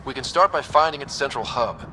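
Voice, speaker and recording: An actor playing an earnest young squad leader in a video game, decisive and plain-spoken. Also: male voice, mid-range voice, brisk, background noise, close to an everyday voice. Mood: curious, focused. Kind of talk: video game cinematic acting.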